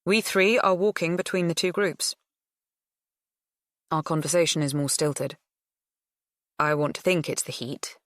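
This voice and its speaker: Low-voiced woman reading a novel. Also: steady tone, a little slow, pauses often, dry recording, studio quality. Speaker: audiobook narrator. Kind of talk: scripted first-person fiction narration.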